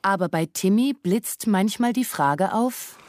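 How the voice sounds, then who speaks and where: A woman's voice: medium pitch, animated, unhurried, background noise, close to an everyday voice. An actress playing an observant, melancholy woman who narrates what she watches, reflective, in a literary radio drama. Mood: observing.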